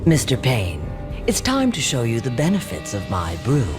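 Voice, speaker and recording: A woman's voice: a bass voice, wildly swooping pitch, normal speed, heavily acted. A woman voicing a cold, scheming female villain boss who taunts her enemy over game music. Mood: taunting. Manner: taunting, smug.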